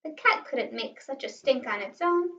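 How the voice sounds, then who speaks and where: High-voiced woman reading a storybook aloud. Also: normal speed. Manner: animated, asks listeners questions, stresses rhymes. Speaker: teacher reading a picture book aloud to children. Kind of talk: expressive children's storybook read-aloud. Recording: roomy, slightly distant, dull sound.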